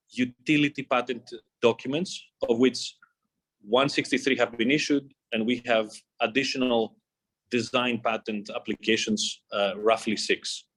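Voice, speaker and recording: A man presenting as a company executive on an earnings call, reading scripted material in a formal, measured way, phrase by phrase. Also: mid-range voice, fairly bright tone.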